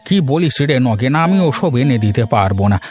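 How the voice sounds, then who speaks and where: A man's voice: medium pitch, very animated, a little fast, a little mellow, faint music behind, close to an everyday voice. A man voicing a weary, pleading old village fisherman in a cartoon moral story. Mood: pleading, reluctant. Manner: pleading, reluctant.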